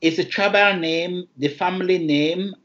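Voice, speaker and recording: A man from Cameroon with a Cameroonian English accent, a native Lamso speaker, answering interview questions in careful, formal phrasing with thoughtful pauses. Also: high voice, fairly even intonation, a bit bright, highs cut off. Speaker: interview guest.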